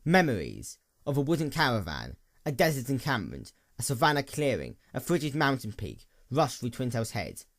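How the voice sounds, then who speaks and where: A man's voice: medium pitch, huge pitch swings, moderate pace, hardly any pauses, no echo, studio quality. A man reading a dramatic scripted story as its narrator. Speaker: narrator, fan fiction reader.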